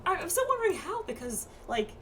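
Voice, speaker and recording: A woman streaming a game with casual, animated, playful commentary among friends. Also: extremely high pitch, fast talker. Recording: faint background noise.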